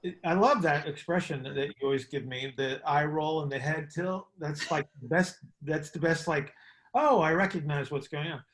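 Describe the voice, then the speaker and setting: Man, fairly high-pitched, informal coaching explanation, halting repeated phrases, checking questions. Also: a bit bright, rough recording. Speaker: host and coach teaching on a group call. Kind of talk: informal explanatory teaching on a conference call.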